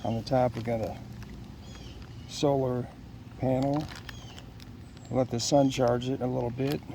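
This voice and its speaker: Male unboxer, casual and halting, creaky mid-pitched voice, clear noise behind. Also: steady tone, mellow. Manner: unscripted, halting, thinking aloud. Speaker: product unboxer.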